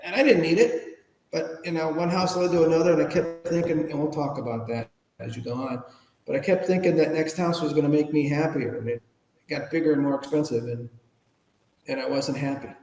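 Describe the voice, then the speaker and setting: An American man from Chicago, fifties or older, telling his own story candidly as a podcast guest in an informal, halting, emphatic way. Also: on the high side, darkish tone, a little hiss or hum. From Chicago, United States. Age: 50s or older. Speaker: podcast guest, ethics trainer and speaker. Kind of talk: candid personal storytelling in an interview. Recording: roomy, echoing reverberant room.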